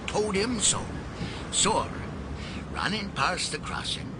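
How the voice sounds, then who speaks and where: An actor playing a rambling, testy, hard-of-hearing old man in a radio drama, halting and colloquial. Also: high voice, big pitch swings, exaggerated voice. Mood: rambling, insistent. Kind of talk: British radio drama acting. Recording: loud street traffic noise. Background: passing vehicles.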